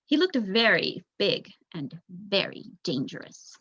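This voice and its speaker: A woman's voice: mid-range voice, sing-song, takes it slowly, a bit bright, husky, no room sound. A young adult woman reading a children's novel aloud as a storyteller, on a dull recording. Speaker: volunteer story reader, student.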